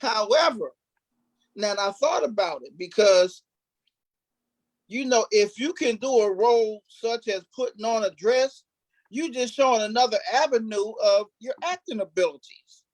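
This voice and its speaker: A man's voice: high voice, unhurried, no room sound, rough recording. Male stage actor, informal interview delivery, emphatic and repetitive for stress, dry recording with little room. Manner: emphatic, repeats phrases for stress. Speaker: stage play cast member, actor.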